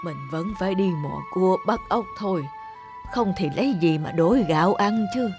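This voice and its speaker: An actress playing a kind, lonely, poor old village woman in an animated folk tale, plaintive and soft-hearted. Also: mid-range voice, normal speed, natural voice. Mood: weary. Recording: music under the voice.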